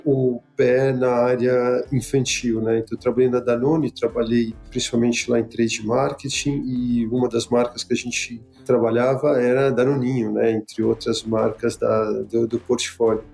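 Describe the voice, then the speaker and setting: A man's voice: mid-range voice, vocal fry, mellow. A man from São Paulo, a guest explaining his marketing career plainly, tagging sentences with "né". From São Paulo, Brazil. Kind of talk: casual interview chat.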